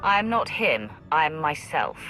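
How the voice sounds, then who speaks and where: A suspicious Sith warrior heroine in a video game. Her low voice moves with lively inflection as she probes and challenges, with music clearly behind her. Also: slow, heavily acted. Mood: challenging. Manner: pointed, probing, challenging. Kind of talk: video game cutscene acting.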